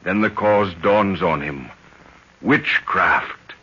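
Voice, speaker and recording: An actor playing a dramatic storyteller, narrating a 1930s radio jungle serial in theatrical, portentous, punchy phrases. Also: male voice, low voice, slow, sharp tone, husky, exaggerated voice. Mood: suspenseful. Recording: muffled 1930s radio recording.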